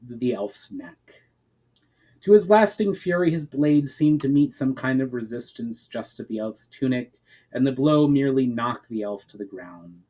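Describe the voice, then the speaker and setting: A man's voice: mid-range voice, a little mellow. A man giving an earnest dramatic reading of a fantasy story, phrase by phrase, letting his line endings fall. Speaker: author reading own story chapter aloud.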